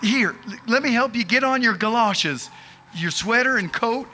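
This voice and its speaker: A man playing an anxious, tender rural father in an old-time radio drama, speaking in a folksy, halting, urgent way. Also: very high voice, expressive, pushed and bright, slight background noise, full character voice. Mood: tender, worried.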